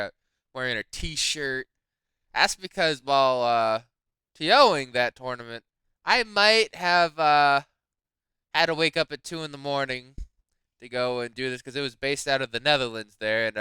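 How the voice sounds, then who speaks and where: A man's voice: mid-range voice, bright, forceful tone, smooth, no room sound. A man hosting a tabletop gaming lesson video, upbeat and chatty, tired from staying up late. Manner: upbeat, hyped greeting. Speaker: host. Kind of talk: casual video intro.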